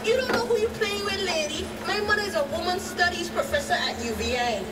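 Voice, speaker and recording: A woman's voice: extremely high pitch, fairly quick, heavy background noise. A woman playing an angry, defiant fifteen-year-old girl in a radio drama, indignant and sassy. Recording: echoey, distant, loud music underneath.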